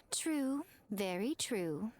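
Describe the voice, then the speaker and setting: A woman playing an elegant, sly, worldly shrine maiden in an anime game, with teasing, drawn-out, theatrical phrasing. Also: fairly high pitch, sing-song, a little hiss or hum, exaggerated voice. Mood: coy.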